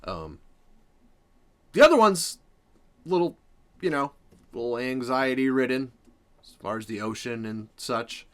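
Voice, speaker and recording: A man's voice: mid-range voice, big pitch swings, a little slow, long pauses, a bit bright. A man giving casual reaction commentary as the host, informal and approving, often repeating his points.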